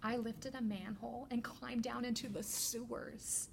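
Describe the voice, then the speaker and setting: A woman reading a children's picture book aloud as a teacher librarian, with deliberate, expressive storytelling. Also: fairly high pitch, gravelly, slight background noise.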